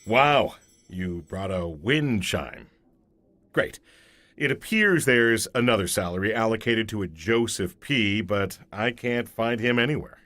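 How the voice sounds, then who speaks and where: An actor playing a dry, officious auditor in a comedic audio drama, formal and deadpan in his wit. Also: fairly low pitch, measured pace, no room sound. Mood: dry, unimpressed. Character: dry, officious male auditor, stiff and exacting.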